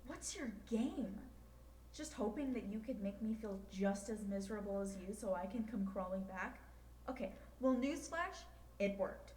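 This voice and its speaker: A woman's voice: medium pitch, lively intonation, normal speed, darkish tone, close to an everyday voice. A woman playing a hurt, exasperated girlfriend in a bitter breakup argument, confrontational and sarcastic, in an audio roleplay. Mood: angry, accusing. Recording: echoey, distant memory-like sound, faint noise.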